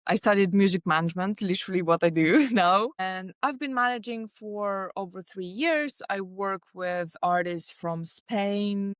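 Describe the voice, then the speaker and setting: A woman speaks in a smooth, clean voice at an everyday middle pitch. She answers interview questions earnestly, piling up points in long lists. The sound is dry and close. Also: measured pace, hardly any pauses, no room sound. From Lithuania. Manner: earnest, talks in long lists with many likes. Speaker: artist manager, guest.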